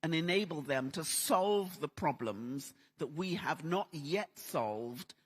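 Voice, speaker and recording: A man's voice: fairly high pitch, a bit bright. A man speaking earnestly and upbeat on a conference panel. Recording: long hall reverb.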